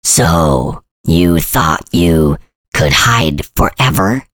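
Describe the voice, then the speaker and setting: A giant centipede villain that drawls every word slowly in a low, sliding voice, as a storyteller puts him on. He is menacing yet deadpan, obsessed with home decorating. The sound is dry and close. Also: no echo, heavily acted. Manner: slow, drawn-out, deep and deadpan.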